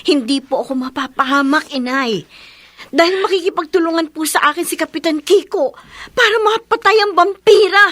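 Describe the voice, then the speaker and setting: A woman's voice: extremely high pitch, normal speed, a bit bright, no echo. A woman playing a determined, brave young daughter, speaking earnestly in a horror radio drama.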